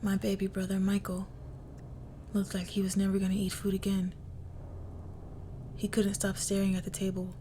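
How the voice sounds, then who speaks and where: A woman playing a crime boss's cold, menacing young daughter in an intimate audio roleplay, speaking in a hushed, deliberate near-whisper. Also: mid-range voice, completely flat delivery, a little hiss or hum, close to an everyday voice. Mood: grim recounting. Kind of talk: intimate scripted audio roleplay, dramatic monologue.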